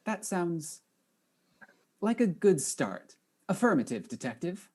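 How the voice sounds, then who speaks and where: A woman playing a polite, earnest robot chief with formal, measured speech in a comedic audio drama. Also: on the low side, very animated, mellow, breathy edge, full character voice.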